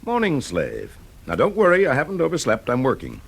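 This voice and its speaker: An actor playing a dry-witted, easygoing fire investigator with a British accent in a radio drama, brisk and wry. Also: male voice, medium pitch, huge pitch swings, creaky.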